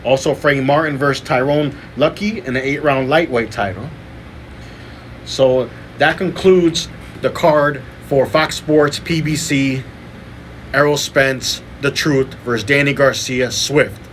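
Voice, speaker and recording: A man's voice: mid-range voice, steady tone, measured pace, a bit bright, slight background noise. A man hosting a podcast, chatting casually as he runs through fight lineups and picks. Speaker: podcast host. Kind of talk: casual boxing podcast talk.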